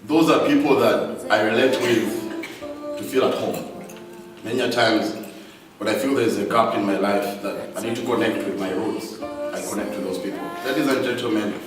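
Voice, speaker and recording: A man's voice: lowish voice, moderate pace. Man speaking in an echoey hall. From Uganda. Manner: warm and grateful, addressing the crowd directly. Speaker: speaker thanking supporters at an event. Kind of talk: heartfelt speech to an audience. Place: large hall with an audience. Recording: echoey hall, distant mic, loud music.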